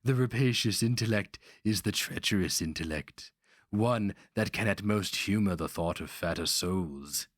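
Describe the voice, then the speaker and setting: A man voicing an aged, frail, haughty grandmaster sorcerer in a dramatic fantasy reading, hissing slowly with sly menace. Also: sits low, no echo, studio quality. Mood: scornful insinuation. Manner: hissing, slow and deliberate.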